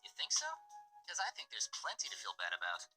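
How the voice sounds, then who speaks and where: An actor plays a bitter, guilt-laden young man in a visual novel. His voice is very bright and edgy, with animated pitch. Faint music runs underneath. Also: fast, exaggerated voice, low-quality recording. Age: young adult.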